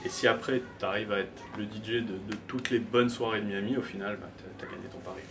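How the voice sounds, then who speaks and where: Guest DJ, French man, twenties, low voice, joking and hesitant, distant mic over steady noise. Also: fast. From France. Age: 20s. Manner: joking, hesitant with many fillers. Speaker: DJ, interview guest. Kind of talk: casual interview chat. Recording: distant mic, noisy background.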